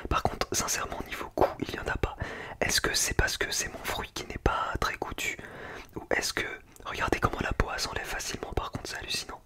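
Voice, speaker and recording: A man speaking in a breathy, unvoiced whisper, chatting casually and in slang in an ASMR tasting style. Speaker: ASMR food-tasting video creator.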